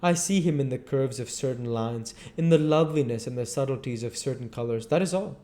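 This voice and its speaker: A man's voice: mid-range voice, brisk, mellow, lightly acted. A man voicing an ardent, anguished painter in a dramatic storybook reading, earnest and confessional.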